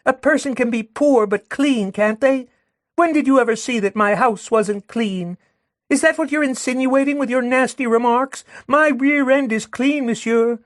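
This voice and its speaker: A man's voice: moderate pace, no room sound, studio quality. A man voicing a furious young working-class woman who rails and jeers with scorn. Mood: indignant. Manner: shrill, jeering, railing.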